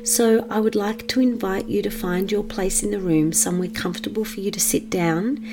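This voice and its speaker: A woman leading a guided meditation for children in a gentle, measured manner with long pauses. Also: mid-range voice, steady tone, background noise.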